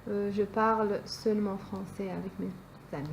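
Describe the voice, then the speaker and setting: A woman's voice: mid-range voice, steady tone, normal speed, a little hiss or hum. A woman in her twenties from France, giving short plain interview answers with occasional fillers. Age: 20s.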